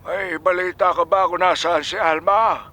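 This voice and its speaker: A man's voice: high voice, steady tone, a little slow, bright, forceful tone, heavily acted. An actor with a Filipino accent playing a worried, sorrowful elderly grandfather in a radio drama. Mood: anguished, worried.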